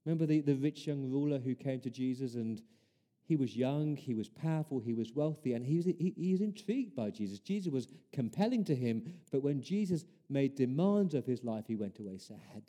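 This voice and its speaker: A man's voice: mid-range voice, lively intonation, fairly quick, warm tone. A man preaching a sermon as pastor, earnest, building his points through repeated rhetorical questions.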